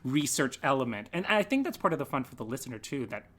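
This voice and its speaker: A man's voice: mid-range voice, sing-song, fast, fairly bright tone. A man speaking casually as an interview guest on a podcast. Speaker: podcast guest, theatre maker.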